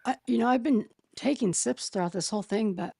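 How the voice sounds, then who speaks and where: A hoarse woman reading a court filing aloud and pausing to think aloud between passages. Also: fairly high pitch, mellow, no room sound, clean studio sound. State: hoarse, losing her voice. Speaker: true-crime commentator.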